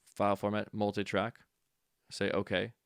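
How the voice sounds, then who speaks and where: Low, creaky-voiced man giving a tutorial. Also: completely flat delivery, moderate pace, no room sound, studio quality. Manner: informal, offhand, thinking aloud while demonstrating. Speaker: instructor. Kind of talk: casual software tutorial walkthrough.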